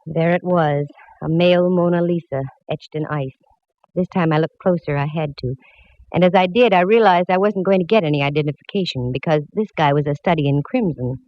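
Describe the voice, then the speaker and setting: A woman's voice: lowish voice, close to an everyday voice. An actress playing a wisecracking woman private eye who also narrates, dry and hardboiled, from a dull old radio broadcast. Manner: wry, hardboiled, quick with quips. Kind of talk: 1940s radio acting.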